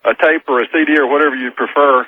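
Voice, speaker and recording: A man's voice: on the high side, steady tone, fast, no room sound. A man with a Southern US accent calling in to a radio show, chatting politely and a little tentatively. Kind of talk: casual call-in chat. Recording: tinny telephone line.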